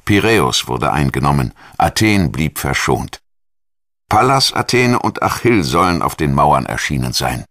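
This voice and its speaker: A man's voice: rumbling low, sing-song, measured pace, a bit bright, no room sound, clean studio sound. A man narrating a documentary in a scripted style, as narrator and voice-over.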